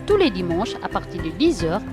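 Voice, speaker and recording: A woman reading a scripted station promo in a lively announcing manner. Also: lowish voice, a little fast. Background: music.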